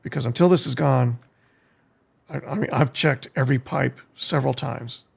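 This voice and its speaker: Gravelly American man thinking aloud. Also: medium pitch, moderate pace. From United States. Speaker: game streamer, host. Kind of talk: casual live gameplay commentary. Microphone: streaming desk microphone.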